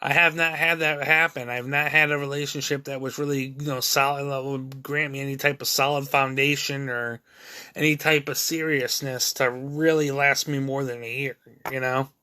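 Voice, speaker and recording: Man, mid-thirties, video creator: a bright, forward voice, candid and self-critical, and stumbling through many restarts. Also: mid-range voice, little pitch movement, clear, resonant tone. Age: 30s. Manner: halting, many restarts and fillers. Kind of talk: rambling personal monologue.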